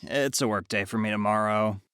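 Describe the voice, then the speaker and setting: A man's voice: on the low side, fairly even intonation, moderate pace, a bit bright, clear, resonant tone, dry recording, clean studio sound, close to an everyday voice. An actor playing a polite, mild-mannered working father in an audio drama. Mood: polite, tired. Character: polite, weary visiting working dad.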